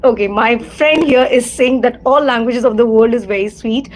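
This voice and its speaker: A woman's voice: fairly high pitch, moderate pace. Indian-accented woman talking at length. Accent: Indian English. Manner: discursive, repeats set phrases, frequent fillers. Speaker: livestream host. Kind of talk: casual livestream monologue.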